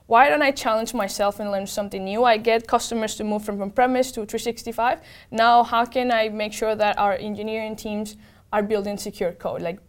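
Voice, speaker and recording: Clear, higher-voiced Venezuelan woman being interviewed. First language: Spanish. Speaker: interview guest, tech program manager. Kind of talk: conversational interview answers.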